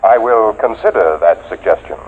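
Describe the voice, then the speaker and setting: A man's voice: fairly low pitch, moderate pace, very noisy, natural voice. A man playing a cold robot testing machine in a radio drama, speaking in a formal, literal, unemotional way. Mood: clinical, detached. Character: cold, clinical robot psychological testing machine, male-voiced. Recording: muffled phone-like vintage radio sound.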